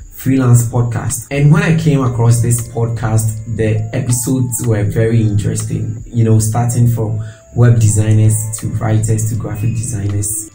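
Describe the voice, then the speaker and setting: A man's voice: fairly low pitch, dark tone, roomy sound, poor audio. A young man from Ghana with a Ghanaian English accent, telling his freelance story to camera on a lapel mic with earnest hand gestures. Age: young adult. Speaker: freelance designer and video creator. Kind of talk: casual talking-head video. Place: small home room with shelves. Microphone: clip-on lapel mic.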